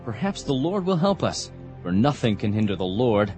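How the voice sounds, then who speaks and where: An actor playing a confident, devout young warrior prince with an earnest, resolute manner in a dramatized scripture reading. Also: male voice, lowish voice, very animated, normal speed, over music. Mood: resolute, confiding. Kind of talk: dramatized audio Bible acting.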